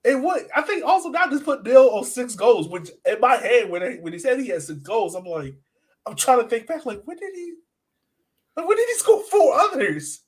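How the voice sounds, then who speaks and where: A man's voice: extremely high pitch, very animated, normal speed. A man co-hosting a soccer fan podcast, talking casually and acting out his reactions as he breaks down matches. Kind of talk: casual sports chat, match analysis.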